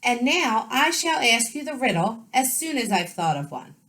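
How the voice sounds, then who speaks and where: A woman's voice: on the high side, big pitch swings, moderate pace, fairly bright tone, pitched above the performer's own voice. A woman voicing a silly, fickle, blustery loony king who exclaims and ponders aloud in a children's storybook. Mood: musing, pleased.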